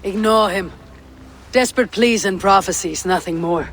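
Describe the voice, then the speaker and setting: An actor playing a cool-headed, wry Viking warrior in a video game, with a dry, measured way of talking. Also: male voice, sits high, a bit bright, soft background music. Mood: dismissive. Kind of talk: video game cutscene acting.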